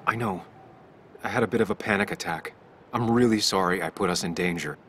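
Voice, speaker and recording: An actor playing a troubled, wary, earnest investigator in a video game, low-key and terse, as if thinking aloud. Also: male voice, a little fast, fairly bright tone, slight background noise. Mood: earnest, reassuring. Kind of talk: video game dialogue and inner monologue.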